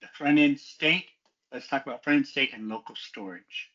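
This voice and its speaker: A man's voice: mid-range voice, normal speed, sharp tone, dull audio. A man giving a methodical, explanatory technical walkthrough as a student developer, in a semi-scripted presentation style.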